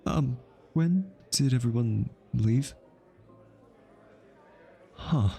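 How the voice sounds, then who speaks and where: A man playing an easily flustered, lovestruck hitman in an intimate ASMR roleplay, halting and stammering as he nervously over-explains. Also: mid-range voice, lively intonation, vocal fry, dark tone, soft background music, full character voice.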